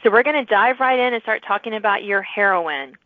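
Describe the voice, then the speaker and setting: A woman's voice: mid-range voice, expressive, fairly quick, dry recording. A woman hosting a podcast, conversationally interviewing authors about their books. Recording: muffled narrow-band radio-like call audio.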